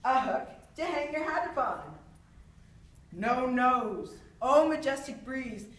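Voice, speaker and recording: Woman playing proud mocking swordsman poet, high voice, haughty, taunting, witty stage declamation, echoey room, distant mic. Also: animated, slow, a bit bright, exaggerated voice. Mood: mocking, playful. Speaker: student actor. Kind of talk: theatrical stage monologue. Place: echoey room, far from the microphone. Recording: roomy echo, distant microphone.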